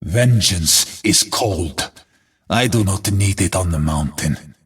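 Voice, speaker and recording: An actor playing a stoic, battle-weary warrior in a video game, speaking gravely and deliberately in measured, declamatory lines with a gravelly, husky voice. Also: male voice, low voice, expressive, full character voice. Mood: brooding.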